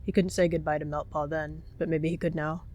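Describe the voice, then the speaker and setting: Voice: mid-range voice, flat delivery, brisk, a little mellow. A storyteller giving dramatic third-person narration of characters in a roleplayed animal story.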